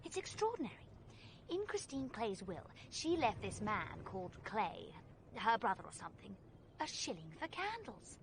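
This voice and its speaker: An actress playing a plucky, excitable young Englishwoman with an English accent, in the style of a vintage radio play. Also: medium pitch, moderate pace. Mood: earnest, excited. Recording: noisy vintage radio drama recording.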